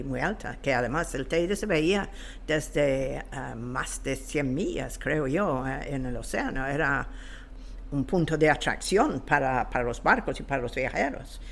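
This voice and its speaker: A woman, explaining history as an interview guest in a hesitant, halting way as she searches for words. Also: low-pitched. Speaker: guest expert on local history. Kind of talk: unscripted explanatory talk.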